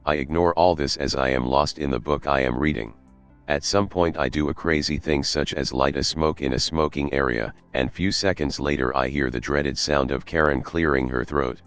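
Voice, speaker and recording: A man narrating a Reddit story in a steady, even read. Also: a bass voice, moderate pace. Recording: faint background music.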